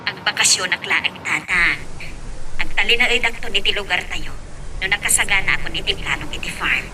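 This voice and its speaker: A woman's voice: mid-range voice, fairly quick, bright, forceful tone. A woman playing an earnest young woman in love in an Ilocano audio drama. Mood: reserved. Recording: distant mic, faint background noise.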